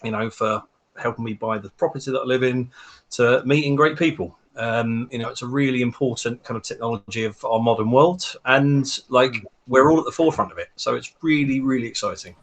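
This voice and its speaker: A man's voice: medium pitch, fairly quick. A man with a South London accent, a guest talking about home mining in a chatty, upbeat, self-mocking way. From Texas, raised in London from age eleven. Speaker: guest, home bitcoin miner and hardware maker. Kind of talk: casual podcast chat.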